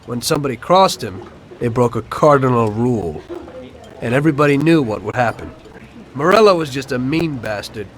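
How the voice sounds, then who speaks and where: A man's voice: mid-range voice, expressive, moderate pace, close to an everyday voice. An actor playing a world-weary gangster in a video game, speaking of his life of crime in a reflective, matter-of-fact way. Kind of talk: video game cutscene storytelling.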